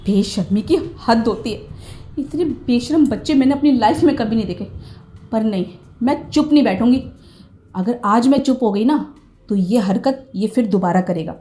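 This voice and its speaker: A woman's voice: fairly high pitch, animated, normal speed, dark tone, natural voice. A woman playing a stern, sarcastic female tutor in an acted short drama. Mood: angry, threatening.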